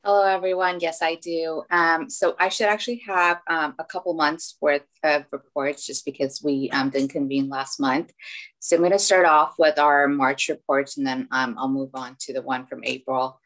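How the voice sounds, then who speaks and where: A woman from community services giving a report on a video call, reading numbers steadily and filling pauses with "um". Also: sits low, low-quality recording. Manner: steady, filler-laden figure reading.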